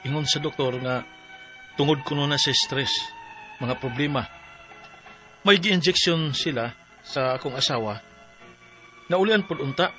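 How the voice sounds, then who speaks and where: A man's voice: fairly high pitch, bright, forceful tone. A man acting a worried, weary husband in a radio drama, earnest and troubled. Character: worried, weary working husband pleading for his wife.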